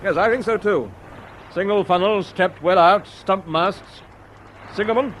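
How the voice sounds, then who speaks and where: An actor playing a decisive British destroyer captain in a wartime radio drama, giving clipped, measured orders with a British accent. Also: male voice, high-pitched, a bit bright, slight background noise. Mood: commanding.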